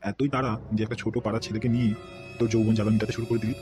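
A stern village elder from a comedy cartoon, voiced by a man, scolding in grave tones. He talks fast over very loud music. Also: fairly low pitch, level tone, natural voice. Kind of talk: Bengali comedy cartoon dubbing. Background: background music.

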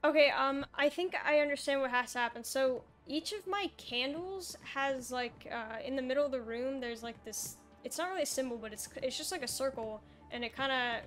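A woman's voice: high-pitched, normal speed, hardly any pauses, fairly bright tone, faint music behind. A young woman chatting casually as she plays a co-op puzzle game, thinking aloud in a hesitant, descriptive way. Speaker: gamer playing a co-op puzzle game. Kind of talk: casual gameplay chat.

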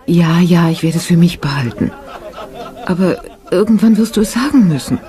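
A woman playing a caring, practical friend who is quick to alarm, in a radio drama. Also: on the low side, animated, mellow, close to an everyday voice. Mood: reassuring. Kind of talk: German radio drama acting.

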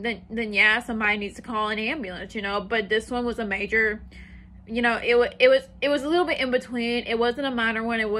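Rambling young woman, bright voice. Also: fairly high pitch. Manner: rambling, lots of "like" and "you know". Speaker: vlogger. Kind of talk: casual storytime. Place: small room indoors, sitting on the floor.

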